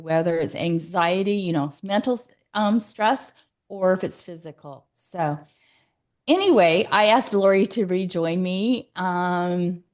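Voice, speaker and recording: A woman's voice: on the low side, darkish tone. Woman host, casual online chat, rambling with fillers and restarts. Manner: chatty, many fillers and restarts.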